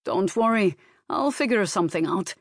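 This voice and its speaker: A woman voicing a calm, reassuring man, a shopkeeper, in measured audiobook dialogue. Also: mid-range voice, no room sound, studio quality, close to an everyday voice. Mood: calm, guarded. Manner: measured, calming. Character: calm, reassuring male Viennese shopkeeper under threat.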